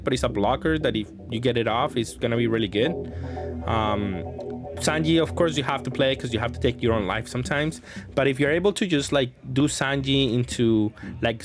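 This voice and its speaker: A man giving casual, unscripted card game commentary as a host, thinking aloud with some hesitation. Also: mid-range voice.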